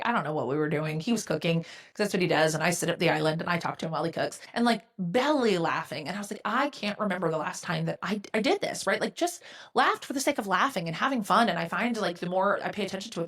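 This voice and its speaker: A woman in her forties hosting a solo motivational podcast, candid and emphatic, often asking "right?" and swearing casually. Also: medium pitch. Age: 40s. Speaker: podcast host and business mentor.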